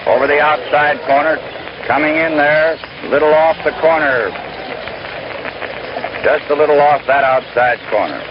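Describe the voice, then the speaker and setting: Old time guy doing baseball play-by-play on the radio, muffled sound, really loud noise all over it. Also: a little high, a little slow, pauses often. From United States. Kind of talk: 1930s-1940s American radio sports commentary. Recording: noisy, dull archival 1930s-40s radio broadcast.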